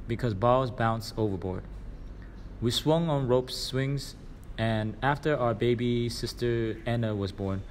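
A man's voice: on the low side, darkish tone, a little hiss or hum. A man reading a children's storybook aloud as scripted narration. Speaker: reader.

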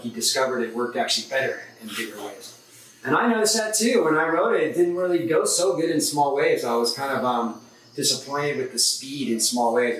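A man's voice: mid-range voice, fairly bright tone. Middle-aged man, echoey workshop vlog. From San Diego, California. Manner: loose and rambling, many fillers and restarts. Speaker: surfboard shaper. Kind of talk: casual board review vlog. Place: surfboard workshop room, indoors. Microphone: camera mic from a few meters away. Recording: echoey room, distant camera mic, faint noise.

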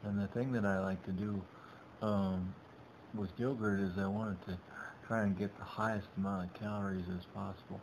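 A middle-aged man narrating his shopping trip in a halting, rambling way, full of restarts, over a webcam. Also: on the low side, fairly even intonation, darkish tone. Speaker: vlogger. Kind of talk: casual narrated shopping vlog. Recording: dull lo-fi webcam audio with background noise.

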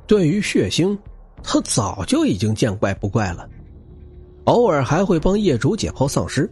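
A man's voice: on the high side, a little mellow, breathy edge. A man narrating an audiobook novel in a dramatic, scripted storytelling style, with music behind him. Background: background music.

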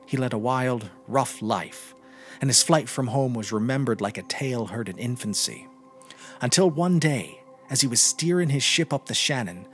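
A man's voice: mid-range voice, animated, moderate pace, a bit bright, faint music behind. A man reading a literary short story aloud as a scripted narration. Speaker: podcast reader.

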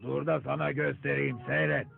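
A villainous, bossy cartoon film director. The voice is gravelly and pitched high, leaping around as he barks and schemes. It comes from a muffled, lo-fi soundtrack with music behind. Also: little pitch movement, normal speed. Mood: bossy, barking. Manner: bossy barking, swinging to oily muttering. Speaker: cartoon voice actor. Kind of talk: theatrical Turkish cartoon dubbing.

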